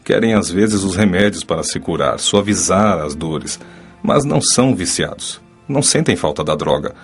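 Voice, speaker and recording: A man playing a kindly, wise spirit physician who teaches calmly in a dramatized audiobook. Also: sits low, soft background music. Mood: calm instruction. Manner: calm, didactic, measured.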